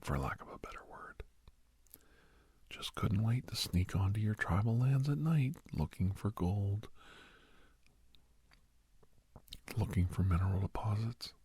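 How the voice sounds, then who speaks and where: A man playing a kindly doctor in an ASMR roleplay, speaking in a hushed, breathy whisper with pauses. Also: on the low side, lively intonation. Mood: hushed, knowing. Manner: hushed, unhurried, with pauses and soft chuckles. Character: kindly doctor advising a young werewolf, old family friend.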